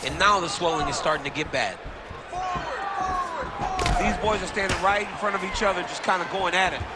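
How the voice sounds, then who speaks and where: A man's voice: high-pitched, a little fast, natural voice. An American man giving colour commentary on a fight in a video game, explaining things in blunt, colloquial language. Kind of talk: conversational sports fight analysis in a video game. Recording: very noisy arena background.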